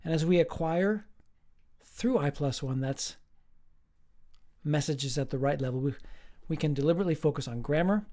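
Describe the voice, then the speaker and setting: A man, a professor, giving a slow, emphatic recorded lecture with playful asides. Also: fairly high pitch, dark tone.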